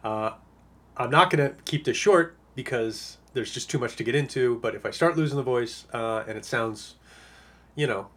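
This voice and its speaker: A man with a hoarse voice he is losing, talking casually as a fantasy football analyst, rambling with lots of fillers. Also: medium pitch, fairly bright tone. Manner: rambling, lots of uh and you know. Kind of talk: casual podcast monologue.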